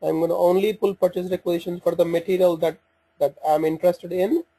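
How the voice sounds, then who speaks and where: A man giving an informal step-by-step software walkthrough, speaking haltingly as he thinks aloud while working through the screens. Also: sits high, fairly even intonation, husky, rough recording. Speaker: software demonstrator. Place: voice-over for a screen recording.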